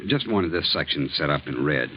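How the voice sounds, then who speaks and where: An actor playing an earnest, hesitant American bachelor, a shyly hopeful suitor in a 1940s radio drama. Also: male voice, extremely low pitch, expressive, normal speed. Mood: apologetic, hesitant. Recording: muffled, noisy old radio recording.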